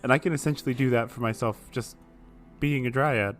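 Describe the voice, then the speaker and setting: A man's voice: mid-range voice, steady tone, a little fast, soft background music. A man chatting and bantering casually as a player in a tabletop roleplaying game.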